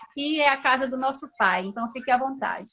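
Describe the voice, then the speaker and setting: A woman's voice: sits high, fast, bright, forceful tone. A woman from Paraíba, hosting an informal religious livestream in an effusive, devout way. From Paraíba, Brazil. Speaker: livestream host. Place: remote social media livestream.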